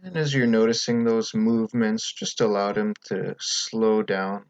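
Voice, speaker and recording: A man leading a guided meditation as the teacher, speaking in a calm, gentle, unhurried way with pauses between instructions. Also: fairly low pitch, smooth, no room sound, dull audio.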